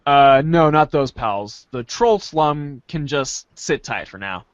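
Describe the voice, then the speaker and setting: A man reading a story aloud in a playful, theatrical way, as an animated second-person narrator. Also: mid-range voice, slow pace, no echo, lo-fi sound.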